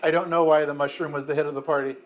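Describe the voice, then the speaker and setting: A man's voice: a little high, flat delivery, racing, muffled recording. An American man in his fifties, a mayor, answering a classroom of children's questions in a friendly, chatty way with frequent ums and self-corrections. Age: 50s. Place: elementary school classroom.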